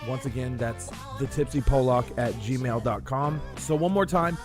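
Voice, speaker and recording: A male podcast host with a mid-pitched voice, delivering a mock-formal intro and crude, deadpan jokes. Music plays behind his casual banter. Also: normal speed.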